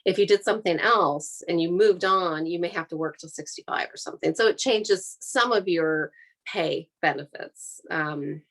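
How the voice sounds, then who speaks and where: Lower-pitched American woman explaining her job. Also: moderate pace, a bit bright. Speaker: air traffic controller giving a career talk. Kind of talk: informal explanatory talk to an audience.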